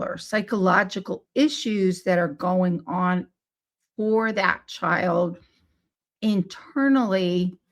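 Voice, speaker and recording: Woman, hoarse from laryngitis, gravelly scratchy voice, slow careful pace, friendly podcast host. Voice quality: scratchy, squeaky voice. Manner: unhurried, friendly, with self-corrections and small laughs. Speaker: podcast host and play therapy trainer. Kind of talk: live-streamed podcast talk.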